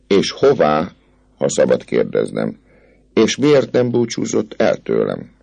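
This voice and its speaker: Casting: a coquettish, pouting young noble lady, high and questioning, put on by a male reader. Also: wildly swooping pitch, creaky, darkish tone, slightly rough, no room sound, heavily acted. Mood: insistent.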